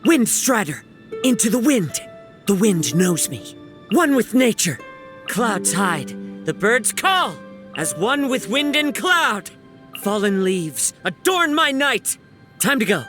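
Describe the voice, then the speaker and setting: A man voicing a gentle, poetic young wandering samurai for a video game, soft-spoken, measured and reflective. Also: high voice, sing-song, faint music behind, full character voice. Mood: fierce, battle-ready. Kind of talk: video game character voice lines.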